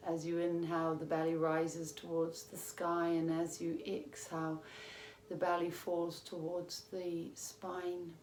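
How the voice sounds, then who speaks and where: Female meditation guide, low register, calm and measured with long pauses, roomy sound. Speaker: yoga teacher. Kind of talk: guided meditation, yoga nidra instruction. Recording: roomy echo with faint background noise.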